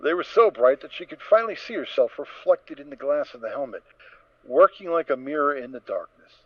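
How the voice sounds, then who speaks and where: A man's voice: fairly high pitch, expressive, a little fast, no echo, muffled recording. A man narrating a scripted story in a dramatic reading style.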